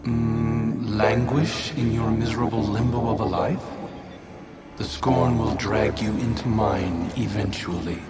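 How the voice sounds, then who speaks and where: An actor playing a taunting, bitter spectre of a fallen prince in a video game, sardonic and theatrical. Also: male voice, fairly low pitch, steady tone, unhurried, close to an everyday voice. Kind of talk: dramatic video game acting. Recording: loud music under the voice.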